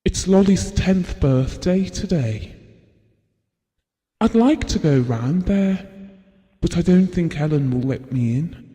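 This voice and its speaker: Tender, wistful husband, mellow and reverberant. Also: male voice, sits high, animated, slow pace, long pauses. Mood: wistful, subdued. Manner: tender, reflective storytelling. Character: devoted, wistful, estranged husband reminiscing about his marriage. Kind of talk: intimate audio drama monologue.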